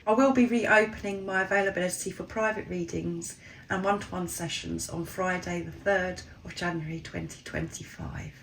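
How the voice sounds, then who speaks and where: A woman with a croaky, hoarse voice from a cold, gently reading tarot cards and addressing listeners warmly as souls. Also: on the low side, steady tone, normal speed, slight background noise, rough recording. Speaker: tarot card reader. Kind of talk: spiritual card reading to camera.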